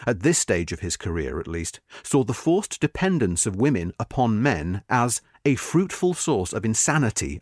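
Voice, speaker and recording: A man reading a history magazine article aloud in a measured, expressive way, slowing at the ends of sentences. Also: mid-range voice, no echo, studio quality. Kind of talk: scripted narration.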